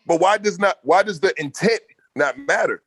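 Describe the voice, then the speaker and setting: A middle-aged man with a bass-heavy voice, arguing loudly and assertively in a casual panel debate. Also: normal speed, breathy edge, no room sound. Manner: loud, assertive, argumentative. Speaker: podcast panelist.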